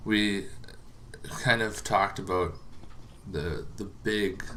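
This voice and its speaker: A man giving a casual, unscripted explainer as a host, halting and rambling with long pauses, over some background noise. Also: lowish voice, bright, forceful tone. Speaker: host of a tabletop gaming lore series.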